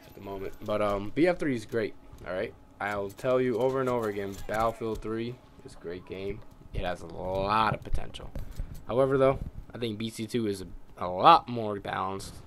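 A man's voice: on the low side, normal speed, a bit bright. A young man with a tired, scratchy voice, rambling and swearing in casual commentary over his gameplay. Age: young adult. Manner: rambling, ranting, heavy swearing. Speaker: gamer.

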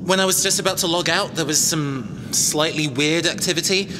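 A man's voice: fairly high pitch, normal speed, in a large hall, background noise, close to an everyday voice. A man playing a cagey, self-assured robot engineer mercenary in an audio drama, wry, conversational and hesitant. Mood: dismayed.

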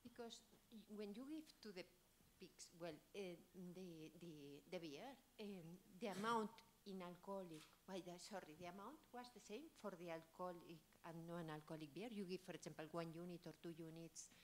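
Low-pitched woman asking questions. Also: normal speed, few pauses, a little hiss or hum. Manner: hesitant, searching for words. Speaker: audience member asking questions. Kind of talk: questions from the floor.

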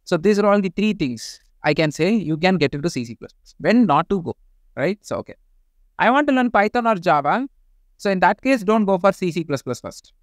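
A man's voice: sits high, a little fast, dry recording. A man in his thirties with an Indian English accent, talking animatedly to camera in a small home studio. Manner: animated, gestures a lot while explaining. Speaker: tech YouTuber. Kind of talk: casual talk to camera.

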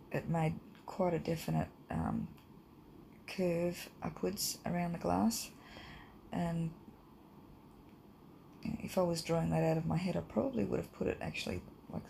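A woman with a croaky voice from hay fever, teaching a drawing lesson in a relaxed, rambling way with many ums. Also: fairly low pitch, measured pace, slight background noise, rough recording. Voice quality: croaky, gravelly. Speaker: art teacher. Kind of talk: casual drawing tutorial voice-over.

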